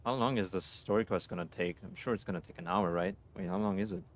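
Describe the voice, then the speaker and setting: A man's voice: lowish voice, sing-song, fast, creaky. A man live streaming casual gaming reactions, informal and often muttering to himself. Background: game music.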